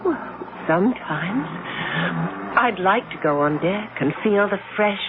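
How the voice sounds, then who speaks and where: A woman's voice: mid-range voice, expressive, measured pace, lightly acted. A woman acting in a 1970s radio drama as a sea captain's anxious young wife, theatrical and hesitant. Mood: guarded. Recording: muffled, noisy old radio broadcast.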